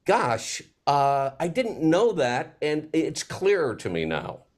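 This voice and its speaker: A man in a reflective panel conversation, speaking as a theater maker in a halting, searching, earnest way with pauses. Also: a little high, huge pitch swings.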